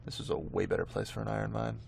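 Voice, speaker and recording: Low-voiced man casually commentating a game. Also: steady tone, quick pace, gravelly, darkish tone, a little hiss or hum. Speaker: host of a gameplay video.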